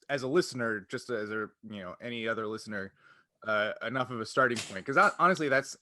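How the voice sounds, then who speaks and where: A man's voice: mid-range voice, sharp tone, dry recording. A man hosting a podcast, talking casually in a wordy, explanatory way. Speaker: podcast host.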